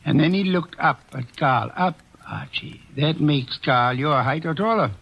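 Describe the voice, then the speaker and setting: An actor playing a commanding, exacting male detective in an old radio drama, speaking in a measured, authoritative way. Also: a little high, animated, vocal fry, breathy edge, exaggerated voice. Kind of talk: 1940s radio drama acting. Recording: muffled old radio recording.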